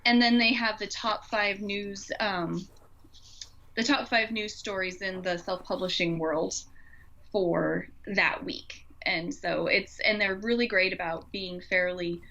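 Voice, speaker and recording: Casting: woman, mid-pitched, informal and enthusiastic talk-show chatter, slightly dull lo-fi sound. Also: fairly even intonation, takes it slowly, sharp tone. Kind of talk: casual conversational chat.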